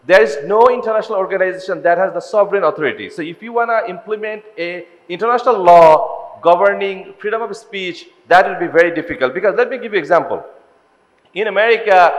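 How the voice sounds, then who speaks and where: A high-pitched man from Bangladesh, giving a measured, explanatory panel answer full of "you know" fillers. His voice rings with a long reverb in a large ballroom. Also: fairly bright tone.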